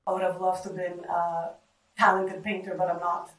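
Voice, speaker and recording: A woman's voice: on the low side, level tone, fairly quick. Middle-aged woman, roomy distant sound. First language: Greek. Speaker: data center engineer being interviewed. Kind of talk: short interview answers. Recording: roomy, distant mic, background noise.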